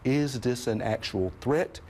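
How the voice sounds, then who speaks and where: A man speaking as a federal law enforcement official in a news interview, deliberate and formal. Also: medium pitch, darkish tone, slight background noise. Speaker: FBI official. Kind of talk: interview soundbite.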